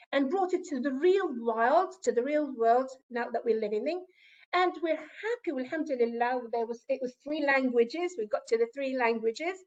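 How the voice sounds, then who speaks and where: A woman's voice: sits high, a little mellow, muffled recording. A woman whose first language is Arabic, giving an academic conference presentation in English and explaining with asides to the audience.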